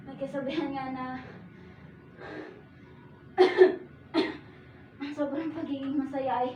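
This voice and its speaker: A woman's voice: sits high, steady tone, slow pace, pauses often, mellow, recorded from a distance. A woman playing a kindly, praising elderly grandmother in a stage play. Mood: affectionate. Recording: muffled, noisy, echoey stage from afar.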